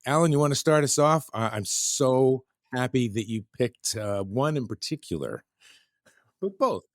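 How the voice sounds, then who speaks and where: A man's voice: medium pitch, expressive, normal speed, dry recording, clean studio sound. A man hosting a music podcast, upbeat and appreciative, chatting casually and steering the conversation. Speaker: podcast host.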